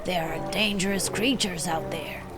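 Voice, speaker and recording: A protective old grandfather gently warns his grandson to be careful. He is a woman voicing an elderly man in a bedtime storybook. Music plays loudly behind him. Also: on the low side, fairly even intonation, unhurried. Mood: caring. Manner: gentle and cautioning.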